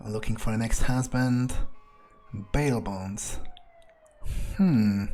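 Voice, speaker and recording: A man's voice: medium pitch, darkish tone. A man playing a cynical, crude gangster turned vampire, thinking aloud in character in a sardonic, hesitant mutter during a video game.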